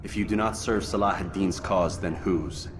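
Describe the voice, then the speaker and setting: Man playing a deep-voiced, stern assassin. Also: fairly even intonation, normal speed, noisy background, close to an everyday voice. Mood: challenging. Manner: terse, solemn questioning. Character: stern, skeptical male assassin, calm and blunt. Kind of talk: video game cutscene acting. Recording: game audio with music behind.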